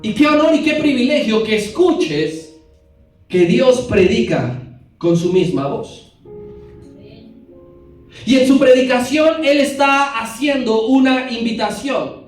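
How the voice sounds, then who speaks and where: High-voiced man teaching in an echoey hall. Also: lively intonation, slow, pauses often, far from the mic, soft background music. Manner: explanatory, addresses listeners directly with questions. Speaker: bible teacher. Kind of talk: bible class lecture.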